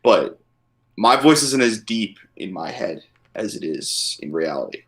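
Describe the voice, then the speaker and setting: A man joins a live stream through headphones and a mic, relaxed and agreeable. His voice sits low but moves around a lot in pitch. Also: unhurried. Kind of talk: casual chat.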